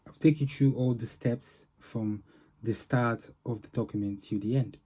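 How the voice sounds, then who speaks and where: A man giving an informal software demo as a developer, narrating in halting phrases with pauses. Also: mid-range voice, steady tone, husky. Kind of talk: informal product demo walkthrough.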